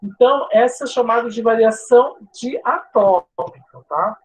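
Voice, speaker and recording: A Portuguese teacher from São Paulo's coast, with its sibilant S, gives a chatty live online class full of tag questions and restarts. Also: female voice, on the high side, unhurried, rough recording. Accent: Brazilian Portuguese, coastal São Paulo. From São Paulo state coast, Brazil. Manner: informal, chatty, frequent "né?" tags and restarts.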